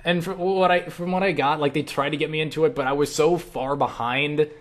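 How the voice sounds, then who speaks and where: A man's voice: a little high, steady tone, a little fast. A young man chatting casually in a question-and-answer vlog, rambling and self-deprecating, with casual swearing. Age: young adult. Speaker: online video creator answering viewer questions.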